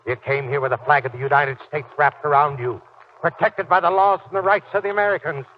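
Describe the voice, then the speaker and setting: A male actor as a fiery, plain-spoken ex-Marine family man in a 1940s radio drama. His voice sits on the high side for a man and blusters along. The recording is muffled, with some noise. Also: brisk, close to an everyday voice. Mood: bitter, accusing. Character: gruff, stubborn ex-Marine father, suspicious and fiery.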